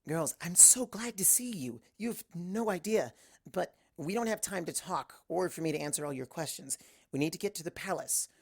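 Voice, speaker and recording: An amateur narrator voicing an earnest, hurried young unicorn pony mare in a story. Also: medium pitch, animated, voice pushed up. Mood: relieved, urgent.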